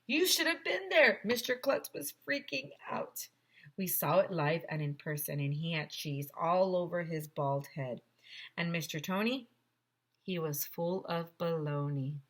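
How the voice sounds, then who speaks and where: A woman teacher reading a story aloud to children with expressive, emphatic narration. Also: deep voice, measured pace.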